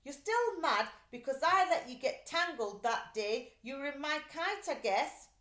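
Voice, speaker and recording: A woman voicing a caring, eager young boy in a children's storybook, earnest and expressive. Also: high voice, unhurried, pitched above the performer's own voice. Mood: pleading, concerned. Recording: roomy, echoey sound.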